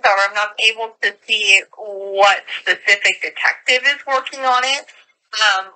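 A woman's voice: mid-range voice, bright, forceful tone. An American woman handling an official phone call, explaining procedure politely as a sheriff's office call taker.

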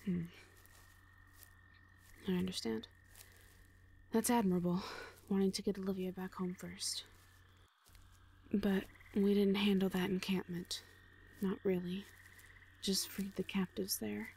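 A woman voices a commanding female orc mercenary in an audio roleplay. The voice sits at a middle pitch, calm and reassuring, with short, plain, measured phrases. There is faint forest noise behind. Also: lightly acted. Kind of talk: audio roleplay drama acting.

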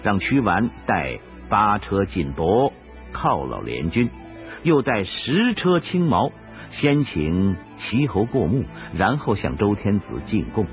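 Low-voiced man, expressive radio drama narrator, storytelling delivery, music behind, dull recording. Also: slow pace.

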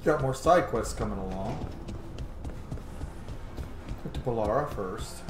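Male streamer, casual and sweary, unhurried, roomy sound, faint game music. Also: medium pitch, animated. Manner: muttering to himself, sweary. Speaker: gaming streamer. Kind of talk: casual let's-play commentary. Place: small indoor room at home.

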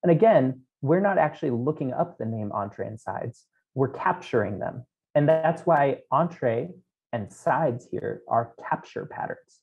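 A man's voice: mid-range voice, animated, unhurried, vocal fry. A man in his thirties giving a technical keynote from a small room, explaining code step by step with frequent ums. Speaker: conference keynote speaker. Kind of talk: technical conference talk. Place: small room at home, indoors. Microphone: headset. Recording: dull lo-fi remote talk audio.

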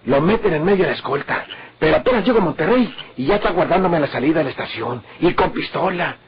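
An actor playing a nervous, scheming northern Mexican outlaw who grumbles to himself in colloquial exclamations in an old radio drama. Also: male voice, a little high, lively intonation, fast. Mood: indignant, rattled. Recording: muffled, very noisy old radio recording.

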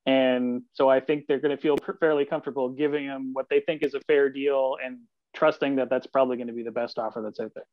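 A man's voice: medium pitch, fairly even intonation, fast, dry recording. A man giving conversational sports analysis as a guest, on lo-fi video-call audio. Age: 40s. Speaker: sports columnist, podcast guest. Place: room at home on video call.

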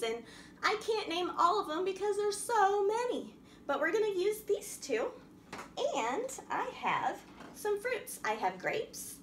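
A middle-aged woman hosting a children's storytime, reading and explaining in a sing-song, animated manner with simple questions for small children. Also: very high voice. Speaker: library storytime host. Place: small indoor room at a wooden table. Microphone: camera a few feet away. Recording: roomy echo, faint background noise.

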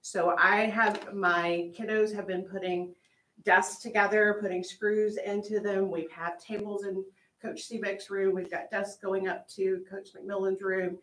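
A woman's voice: medium pitch, level tone, unhurried, fairly bright tone, roomy sound, poor audio. A woman presenting informally at a school board meeting, telling a story with fillers and light laughs. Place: meeting room, large indoor.

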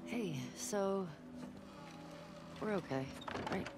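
A woman's voice: medium pitch, animated, drawn-out words, over music. An actress playing a guarded, blunt young American woman, a survivor in a naturalistic video game. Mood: uneasy. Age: young adult. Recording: faint game ambience behind dialogue.

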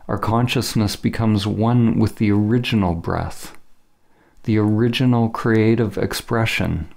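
A man narrating a scripted spiritual meditation as a teacher, in measured, deliberate phrases with short pauses. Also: lowish voice, creaky, a little mellow.

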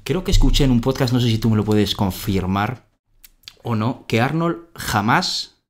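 A man's voice: medium pitch, expressive, moderate pace. A man hosting a podcast, asking probing follow-up questions across a desk in a small office. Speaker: podcast host. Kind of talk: interviewing. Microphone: desk microphone.

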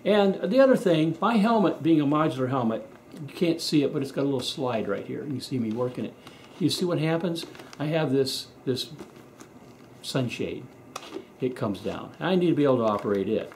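A man's voice: medium pitch, expressive, normal speed, a little mellow. American guy doing a casual garage demo, bit echoey with faint noise, explains stuff step by step. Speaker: presenter of a how-to video. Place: indoors in a home garage workshop.

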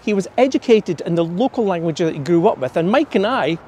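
A middle-aged Scottish tour guide with a fairly high voice speaks outdoors in a snowy city park. He tells stories and reads verse aloud from a folder. A camera picks him up from some distance. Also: expressive, fairly quick, darkish tone, slight background noise. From Scotland. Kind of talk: guided tour talk with poetry reading.

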